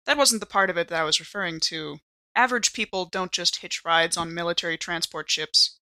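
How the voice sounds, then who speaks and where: A woman playing a shrewd, sceptical spaceship officer in a tabletop game, measured, direct and probing. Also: on the low side, bright, forceful tone. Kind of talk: tabletop role-play acting.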